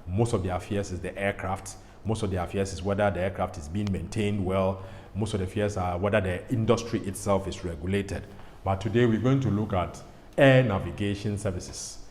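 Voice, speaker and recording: A man's voice: low voice, darkish tone. A man with a Ghanaian accent presenting an educational explainer in an emphatic way, repeating his phrases. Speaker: pilot host. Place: indoor studio. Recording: echoey hall-like studio, faint noise.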